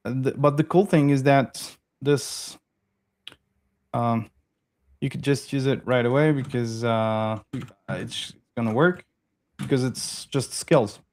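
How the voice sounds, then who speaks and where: Halting man demoing code. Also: mid-range voice, darkish tone. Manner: halting, repeats words while working on screen. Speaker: guest developer. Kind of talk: casual tech chat while demoing code.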